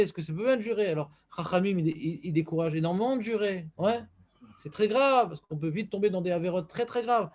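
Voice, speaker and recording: A man's voice: high-pitched, darkish tone. A man teaching an informal religious class, bantering and joking with his students.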